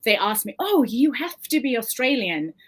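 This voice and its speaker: A woman's voice: on the high side, sing-song, normal speed, slightly rough. A woman with a South African accent, a guest author sharing personal experiences in a casual interview, earnest and given to laughing as she talks.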